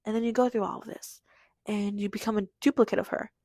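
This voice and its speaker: Teen girl podcast host, hoarse and raspy, middle pitch, chatty and rambling. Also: fairly even intonation, fast talker, mellow, dry recording, clean studio sound. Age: teenager in high school. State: hoarse, losing her voice. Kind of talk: casual confessional monologue.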